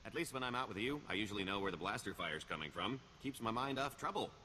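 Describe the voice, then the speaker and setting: Boastful middle-aged scoundrel, low voice, swooping intonation, sly video game sarcasm, background noise. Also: male voice, expressive, fast talker, pushed and bright. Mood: wry, weary. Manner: wry, wheedling, theatrical sarcasm. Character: roguish male career criminal, wry, boastful, scheming veteran.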